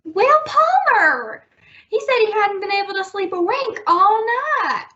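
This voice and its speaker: Casting: actress as 1950s radio sitcom wife, very high voice with lilting range, lo-fi vintage sound. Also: female voice, big pitch swings, a little slow, pushed and bright, full character voice. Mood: coy, reporting. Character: 1950s sitcom wife calmly breaking news to husband. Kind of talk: old-time radio comedy acting. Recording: dull lo-fi old-time radio sound.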